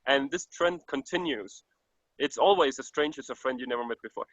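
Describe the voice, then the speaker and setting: A man's voice: mid-range voice, fairly quick, a bit bright, no room sound, muffled recording. A man from Denmark with a Danish accent, speaking English as a second language, a traveler giving an earnest, reflective interview over a call with frequent restarts. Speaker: traveler guest. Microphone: video call.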